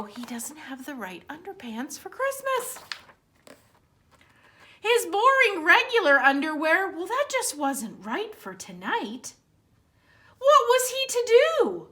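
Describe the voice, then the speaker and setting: A woman with a high, bright voice reads a children's story aloud. She takes her time, leaning on key words with dramatic expression. Her delivery is aimed squarely at young listeners, as a library storytime reader. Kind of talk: animated read-aloud of a children's picture book.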